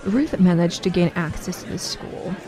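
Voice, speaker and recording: A woman's voice: fairly low pitch, moderate pace, crowd noise, low-quality recording. A woman narrating a scripted story for an amateur audio drama.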